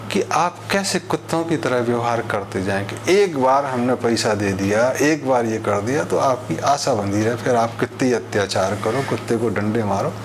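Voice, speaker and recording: Higher-voiced man lecturing over background noise. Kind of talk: expository monologue on social issues with parables.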